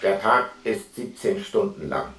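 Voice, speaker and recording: Low-voiced ancient seafarer played by a man, roomy. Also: a little slow, close to an everyday voice. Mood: grave. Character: ancient male seafarer recounting his expedition logbook. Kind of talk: scripted literary radio-play narration. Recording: roomy sound with music. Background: music.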